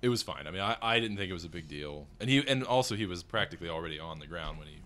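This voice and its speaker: Deep-voiced man, big and rambling. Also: animated, bright, forceful tone. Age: 30s. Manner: rambling, halting, with frequent restarts. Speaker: filmmaker guest. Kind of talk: casual podcast conversation.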